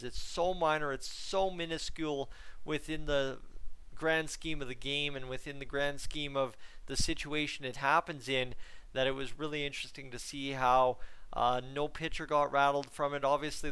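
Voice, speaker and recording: A young man co-hosting a baseball recap over a video call from home, explaining in a measured way with frequent fillers. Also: fairly high pitch, a bit bright, clear, resonant tone, rough recording. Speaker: baseball podcast co-host. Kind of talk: casual post-game analysis.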